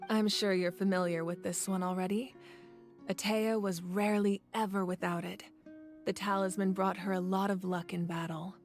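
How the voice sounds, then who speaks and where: An actress playing a composed, proud warrior woman in a video game, recounting tales in a measured, thoughtful way. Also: medium pitch, flat delivery, faint music behind, close to an everyday voice. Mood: fond, explanatory. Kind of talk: video game story dialogue.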